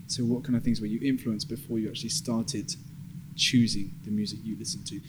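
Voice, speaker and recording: A man's voice: lowish voice, little pitch movement, a little fast, background noise. A man hosting a podcast in a casual interview style. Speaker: podcast host.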